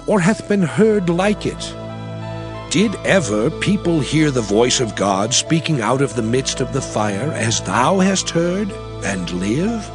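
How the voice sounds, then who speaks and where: An actor playing Moses, a solemn prophet and leader, in grave, emphatic scripture oratory for an audio drama. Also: male voice, on the high side. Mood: earnest reassurance. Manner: grave, emphatic, measured declaiming. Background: music.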